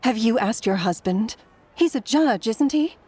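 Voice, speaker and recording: A woman's voice: fairly high pitch, animated, measured pace, dark tone, a little hiss or hum. A woman playing a prim, gossipy society wife in a video game. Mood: curious.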